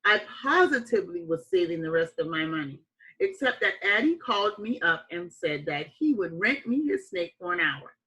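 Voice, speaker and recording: A woman reading a children's picture book aloud like a teacher, with expressive, emphatic phrasing and deliberate dramatic pauses. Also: lowish voice, low-quality recording.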